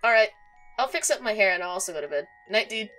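A woman voicing a breezy, skeptical young female fan in an animated comic dub, casual and slangy. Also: medium pitch, fast talker, faint music behind. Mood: easygoing.

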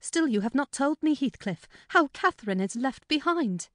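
A man voicing an insistent woman servant who hushes and presses the speaker, in an audiobook reading. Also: extremely high pitch, lively intonation, moderate pace, dry recording, clean studio sound, voice pushed up. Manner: insistent, hushing. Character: woman servant, firm and curious.

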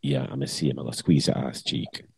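Man, middle-aged, very deep, husky, blunt patois chat. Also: steady tone, a little fast, a little mellow, no room sound, clean studio sound. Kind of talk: casual chat.

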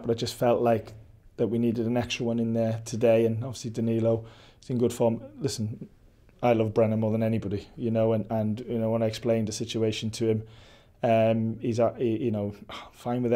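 A Welsh football coach in his forties answers post-match questions indoors, close to the mic. His voice is mellow and dark, and his delivery stays level, with frequent restarts and fillers as he thinks aloud. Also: fairly low pitch, completely flat delivery, brisk, few pauses. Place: indoor press backdrop at a stadium.

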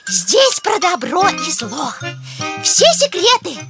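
A woman's voice: very high-pitched, wildly swooping pitch, slow pace, exaggerated voice. A woman voicing a haughty, bossy little girl animal in a cartoon, with a preachy, scolding, affected manner. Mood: haughty, lecturing. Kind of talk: animated cartoon character acting. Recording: music behind the voice.

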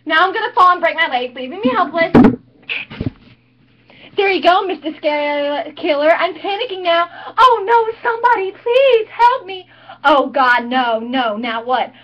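A snobbish, haughty teen cheerleader, played by a woman in a home-made monologue, mocking everything with bratty sarcasm. The voice sits high. The recording is dull and lo-fi. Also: animated, moderate pace, fairly bright tone. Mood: mock panic. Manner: sarcastic, bratty put-on theatrics. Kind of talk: amateur monologue performance.

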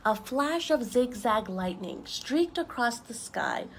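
Young lady doing story time for little kids, really lively high voice, noisy outdoor camera audio. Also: slow, creaky. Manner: expressive, simple explanations aimed at young children. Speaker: story time reader for children. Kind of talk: children's picture book read-aloud with asides. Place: outdoors on a wooden deck among trees.

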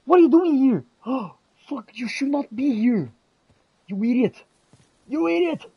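A man's voice: extremely high pitch, lively intonation, a little slow, slightly rough, no echo, muffled recording. A man giving theatrical in-character gameplay commentary, reacting aloud as he role-plays. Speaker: gamer role-playing aloud.

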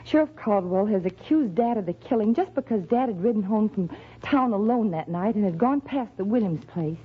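Earnest, husky-voiced rancher's daughter. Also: female voice, mid-range voice, lively intonation, moderate pace. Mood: distressed, pleading. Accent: American. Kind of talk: 1940s radio Western acting. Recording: thin, phone-like old radio recording.

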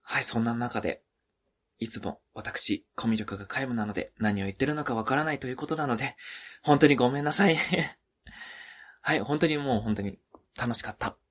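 A man's voice: medium pitch, normal speed, fairly bright tone, slightly rough. A man giving an informal comment to listeners as an amateur streamer, humble, apologetic and effusively thankful.

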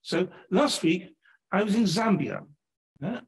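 Lively older Englishman lecturing. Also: high-pitched, slow, slightly rough. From England, Sheffield. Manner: animated, chatty, frequent "right?" tags. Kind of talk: public lecture. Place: lecture hall, standing at a lectern. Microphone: lectern microphone.

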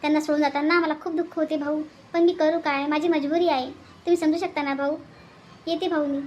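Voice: sits high, flat delivery, a little fast, a little mellow, dull audio, a little hiss or hum, lightly acted. A performer voicing an earnest, tender city woman in a cartoon melodrama, speaking politely, pleadingly and sentimentally. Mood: tearful gratitude. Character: earnest, tender orphanage woman from Mumbai, bidding farewell.

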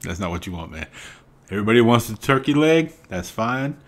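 Lowish-voiced Southern man chatting. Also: very animated, a little fast. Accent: Southern American. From Southern United States. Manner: chatty, laughs often, emphatic asides. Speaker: reaction video host. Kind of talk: casual commentary. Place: small home room. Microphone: studio mic on arm, wearing headphones.

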